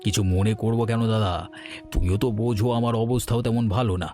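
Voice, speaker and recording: A man's voice: mid-range voice, steady tone, fast talker, warm tone, soft background music, lightly acted. A man playing a poor village fisherman in a cartoon story, apologetic and keen to justify himself. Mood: apologetic, sympathetic.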